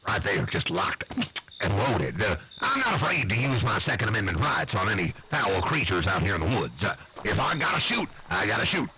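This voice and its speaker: A man's voice: lowish voice, fairly quick, a little hiss or hum, low-quality recording. A man voicing a blustery, nervous backwoods uncle with an American accent, rambling and swearing in an improvised comic monologue. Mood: boastful, rambling. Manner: rambling, folksy, profane, excitable.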